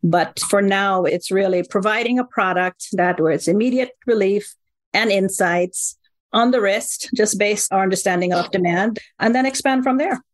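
A woman's voice: mid-range voice, normal speed. A middle-aged woman, a company co-founder, answering interview questions in an earnest, explanatory way and often checking in with "right?". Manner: earnest, explanatory, repeats key phrases.

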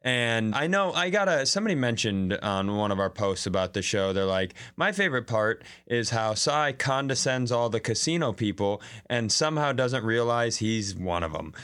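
A man's voice: mid-range voice, lively intonation, moderate pace, few pauses, a bit bright, smooth. An American man co-hosting a comedy podcast, telling a story casually in a self-deprecating, stop-and-start way.

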